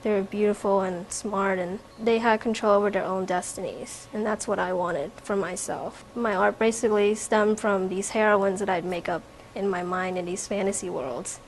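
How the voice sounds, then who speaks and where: A woman's voice: mid-range voice, fairly even intonation, normal speed, rough recording. Young woman, reflective, over background noise. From from Vietnam, raised in America from age four. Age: young adult. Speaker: art student and painter. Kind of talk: informal spoken artist profile.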